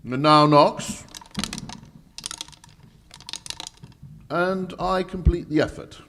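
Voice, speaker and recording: An actor playing a pompous, formal English lord in a live old-time radio mystery, speaking with declamatory emphasis. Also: male voice, on the high side, measured pace, a bit bright, a little hiss or hum. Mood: proud, emphatic. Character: pompous English lord guarding the nation's gold vault. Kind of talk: old-time radio drama acting on stage. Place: live stage performance at a conference.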